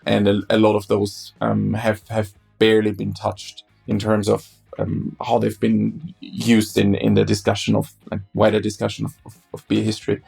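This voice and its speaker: A man from Austria, a German speaker, talking as a guest in a conversational interview, often halting and repeating words. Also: on the low side, completely flat delivery, gravelly, darkish tone, breathy edge, faint music behind. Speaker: guest beer historian.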